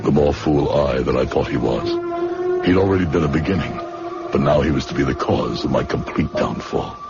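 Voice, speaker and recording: An actor playing a conscience-stricken young medical student, earnest and literary, in a radio drama. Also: male voice, rumbling low, wildly swooping pitch, brisk, full character voice. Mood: bitter. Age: young adult. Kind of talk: radio drama acting and narration. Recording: muffled lo-fi radio recording, loud music.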